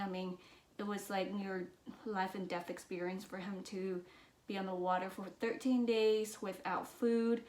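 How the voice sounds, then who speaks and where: A woman from Vietnam with as her talks earnestly and reflectively to her channel, with many fillers and restarts. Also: medium pitch. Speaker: business and mindset vlogger. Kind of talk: personal talk to camera. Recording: roomy echo.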